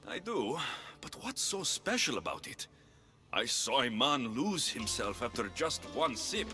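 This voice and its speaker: A capable game hero with a mid-pitched male voice. He is wry and plain-spoken, and music plays clearly behind him. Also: very animated, unhurried, pushed and bright. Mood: wry, questioning. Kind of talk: dramatic video game acting.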